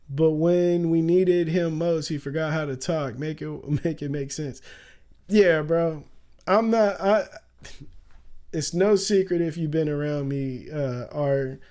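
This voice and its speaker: A young man talks casually on a stream, loose and full of slang, laughing easily. His voice sits a little high but sounds warm and mellow, with a faint rasp. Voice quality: raspy at times. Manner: loose, slangy, laughs often, says "bro" a lot. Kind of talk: casual livestream commentary.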